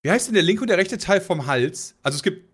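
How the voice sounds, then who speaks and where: A man's voice: high-pitched, expressive, fast, a bit bright, husky. A man in a casual podcast chat who talks hesitantly and searches for words. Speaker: podcast regular.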